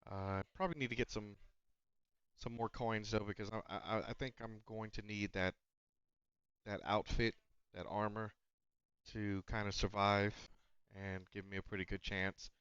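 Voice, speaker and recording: A man's voice: lowish voice, flat delivery, moderate pace, pauses often, fairly bright tone, clear, resonant tone, no room sound, highs cut off. A man giving casual gameplay commentary on a headset mic, slightly nasal, rambling with fillers and restarts. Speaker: gaming channel host. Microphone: noise-cancelling headset.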